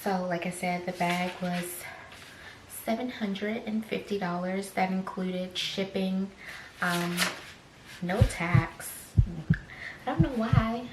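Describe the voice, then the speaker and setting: A young woman, slightly raspy after choking on a drink, chatting slowly through an unboxing vlog with many fillers and soft whispered asides. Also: mid-range voice, noisy background. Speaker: fashion vlogger. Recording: echoey room.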